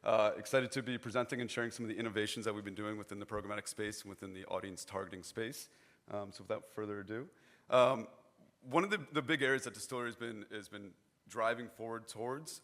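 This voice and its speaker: A hoarse young man giving a chatty, informal conference presentation on stage, often restarting his phrases. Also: lowish voice, fairly bright tone.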